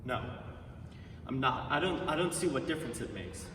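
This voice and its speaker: A man's voice: medium pitch, expressive, normal speed, fairly bright tone, close to an everyday voice. A man playing a repressed, devout, defensive young husband in a live dramatic stage reading. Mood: pleading, tormented. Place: large reverberant museum hall. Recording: echoey hall from far off, noisy.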